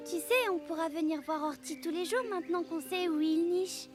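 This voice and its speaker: An actor playing an earnest, gentle young animal child in a French children's cartoon. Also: very high-pitched, moderate pace. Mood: curious, eager. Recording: loud music behind the voice.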